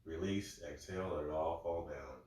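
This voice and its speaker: Calm, slightly congested man in echoey room. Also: rumbling low, moderate pace, a little hiss or hum. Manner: calm, gentle step-by-step cueing. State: a little congested. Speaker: breathwork instructor. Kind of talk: guided breathing instruction.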